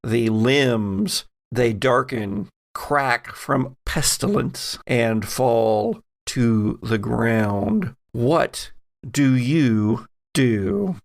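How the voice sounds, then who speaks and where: A man's voice: mid-range voice, extremely slow, vocal fry. A man narrating a tabletop horror game as game master, dramatic in his scene-setting with wry asides.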